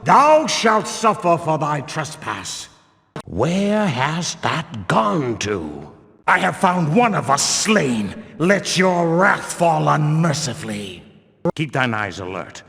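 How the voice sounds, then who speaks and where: Stern zealot temple guard, echoing. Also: male voice, medium pitch, gravelly, a bit bright, exaggerated voice. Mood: alarmed. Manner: pious, archaic, declamatory speech. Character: stern, zealous male religious warrior guard of a builder cult. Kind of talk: fantasy video game character acting. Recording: long reverberant hall echo.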